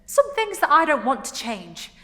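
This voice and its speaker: A woman performing a passionate young woman in a dramatic stage monologue, emotional and emphatic, pausing for effect. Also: mid-range voice, natural voice. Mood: angry, pleading. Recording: echoey hall, noticeable room noise.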